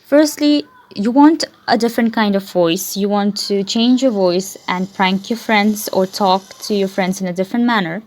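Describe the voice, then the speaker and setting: A woman presenting a casual step-by-step software tutorial, with halting, repetitive phrasing and self-corrections. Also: medium pitch.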